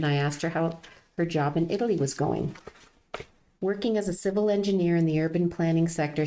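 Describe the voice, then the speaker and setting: An older woman hosting a chat and reading aloud from her own novel, agreeable and quick with "yeah". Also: deep voice, dark tone, low-quality recording. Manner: agreeable, frequent "yeah" backchannels. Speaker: podcast host and author reading her novel. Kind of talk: casual chat and book reading.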